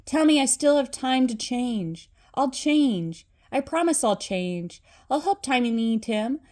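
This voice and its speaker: An old man from a children's storybook, played by a woman reading aloud. He speaks emphatically in exclamations, with a slightly lifted pitch and strong feeling in his words. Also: animated, slow, a little mellow. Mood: pleading, desperate.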